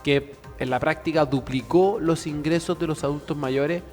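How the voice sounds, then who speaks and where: A man's voice: on the high side, fairly even intonation, normal speed, a little mellow, in a large hall, soft background music. A man talking earnestly on a TV show, speechlike and full of fillers. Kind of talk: earnest studio talk.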